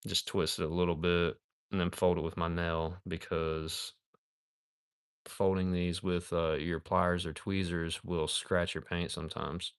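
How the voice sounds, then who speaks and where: A man's voice: deep voice, flat delivery, a little slow, smooth, no room sound, studio quality. A man in his thirties chatting casually on a live stream while he builds a model kit, laid-back and rambling, calling viewers dude and man. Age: 30s.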